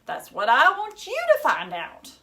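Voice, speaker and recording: A woman's voice: a little high, huge pitch swings, unhurried, bright, forceful tone, voice pushed up, full character voice. A woman voicing an indignant, dramatic young girl in a children's novel read aloud, insistent and emphatic.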